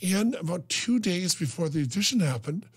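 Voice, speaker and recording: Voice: medium pitch, animated, breathy edge. Joking radio host, medium voice. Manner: joking and teasing, quick reactions. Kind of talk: casual radio interview.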